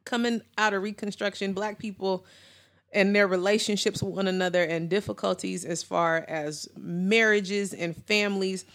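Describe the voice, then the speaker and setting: A woman's voice: mid-range voice, fairly even intonation, moderate pace, fairly bright tone. An American woman co-hosting a casual discussion, talking in an earnest, reflective way. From United States.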